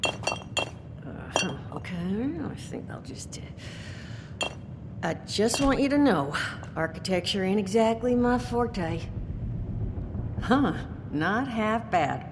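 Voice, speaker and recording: An actress playing a sly, scheming survivor who talks in a casual, smooth way. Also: female voice, mid-range voice, expressive, slow pace, pauses often. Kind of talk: video game dialogue. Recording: long reverb with clear noise.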